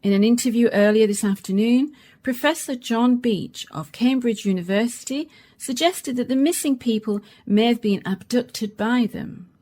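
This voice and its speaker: An actress playing a serious radio announcer in a science fiction radio play, reading formally. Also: female voice, fairly high pitch, normal speed, warm tone, close to an everyday voice. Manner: formal, orderly bulletin delivery. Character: serious female radio news announcer reporting alien crisis. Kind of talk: radio play acting as scripted news reading.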